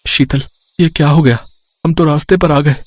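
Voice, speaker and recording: A man's voice: on the high side, fairly even intonation, moderate pace, close to an everyday voice. A man playing an anxious, trusting younger brother and husband in a storybook tale, earnest and pleading. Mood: despairing worry.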